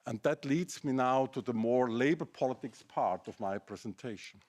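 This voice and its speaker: A man's voice: medium pitch, normal speed, a little mellow. A middle-aged man with a German accent giving a seminar presentation, building his case through pointed rhetorical questions. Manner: argumentative, asks rhetorical questions. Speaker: academic speaker.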